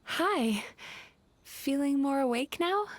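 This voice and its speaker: A gentle dream-eating spirit girl. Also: high-pitched, animated, slow pace, slight background noise. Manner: soft-spoken, polite and apologetic. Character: gentle young female dream-eating yokai. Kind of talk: video game voice acting.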